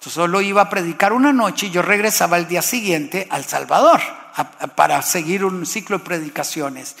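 A man's voice: high-pitched, a little fast, vocal fry, fairly bright tone, long reverb tail. A man from Costa Rica preaching a sermon, impassioned and building to exclamations.

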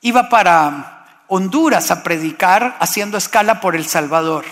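High-voiced impassioned male preacher. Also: expressive, a little slow, fairly bright tone, long reverb tail. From Costa Rica. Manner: impassioned, rising to exclamations. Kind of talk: sermon.

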